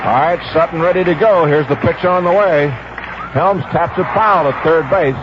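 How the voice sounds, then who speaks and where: A man's voice: on the high side, normal speed. A man calling baseball play-by-play on live radio in terse, clipped phrases. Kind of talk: live radio sports commentary. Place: outdoor ballpark broadcast. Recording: dull vintage radio, loud crowd and noise. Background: loud stadium crowd.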